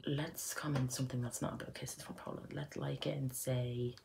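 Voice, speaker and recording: A woman's voice: extremely low pitch, little pitch movement, moderate pace, gravelly, background noise. A young Irish woman at home, chatting playfully through a reaction video with whispered asides. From Ireland. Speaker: YouTuber. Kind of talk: casual reaction commentary. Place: indoors at home.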